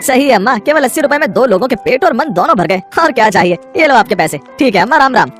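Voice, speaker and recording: A woman's voice: a little high, expressive, quick pace, faint music behind, natural voice. A woman voicing a friendly, chatty young male customer at a food stall in a story. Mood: pleased.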